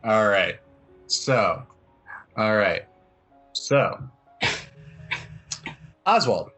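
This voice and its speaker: A man runs a tabletop roleplaying game as its game master, with a lowish voice and an unhurried pace. His narration is casual and conversational. Music plays behind him. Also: lively intonation, sharp tone.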